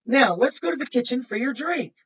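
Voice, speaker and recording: A woman's voice: high voice, lively intonation, brisk, slightly rough. A woman, a kindergarten teacher reading a picture book aloud to children and adding simple explanations and questions. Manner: simple explanatory phrasing, pointing things out, repeating and asking questions. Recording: muffled, distant microphone.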